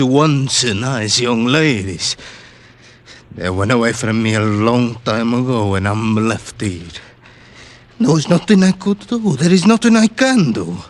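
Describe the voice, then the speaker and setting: An actor playing a weary, bitter old laborer father in radio drama, heavy, resigned and mournful. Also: medium pitch. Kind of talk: 1940s-50s radio drama acting.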